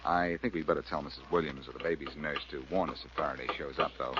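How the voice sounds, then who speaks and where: An actor playing a cool, quick-witted reformed crook turned sleuth in a 1940s radio drama, wry and glib. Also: male voice, very deep voice, fairly even intonation, fairly bright tone. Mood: confident. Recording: muffled, hissy old radio recording.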